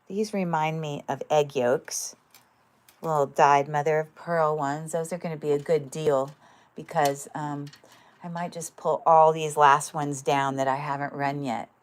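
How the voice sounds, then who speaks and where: Woman, livestream seller, chatty, filler-heavy, lower voice, crisp clear sound. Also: fairly even intonation, unhurried. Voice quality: describes own voice as loud. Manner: chatty, many fillers, thinks aloud while handling items. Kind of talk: casual livestream sales chat.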